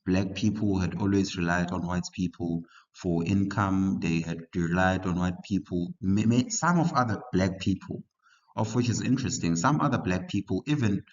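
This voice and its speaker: A young man from South Africa giving a casual history study lesson to learners, halting with frequent fillers and restarts. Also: deep voice. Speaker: history tutor. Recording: muffled lo-fi recording.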